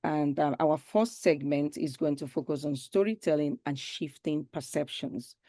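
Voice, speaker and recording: A woman's voice: sits low, moderate pace, dark tone, no echo, clean studio sound. A woman moderating a conference session, introducing the guest formally and welcoming her, with "um" fillers.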